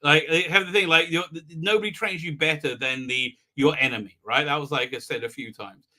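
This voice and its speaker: A man hosting a fan review livestream, giving a rambling, halting, sarcastic recap with repeated words and exasperated outbursts. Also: fairly high pitch, fairly even intonation, sharp tone. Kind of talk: casual mocking episode recap.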